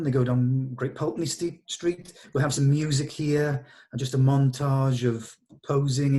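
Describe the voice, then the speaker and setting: A man's voice: medium pitch, warm tone. Informal man reading stage directions. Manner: informal, hesitant, matter-of-fact. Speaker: table read leader and narrator.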